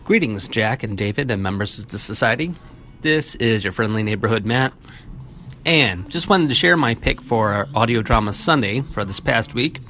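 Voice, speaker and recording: A man's voice: fairly low pitch, animated, normal speed, bright, forceful tone, slight background noise. A man leaving a friendly, chatty recorded message for a podcast as a listener. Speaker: listener caller.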